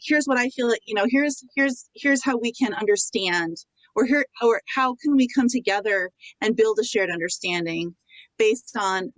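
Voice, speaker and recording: A woman's voice: mid-range voice, no room sound, rough recording. Woman running a workshop on a video call, dry sound, explains stuff casually. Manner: explanatory, fillers like "you know" and "like". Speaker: workshop facilitator. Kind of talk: teaching an organizing workshop.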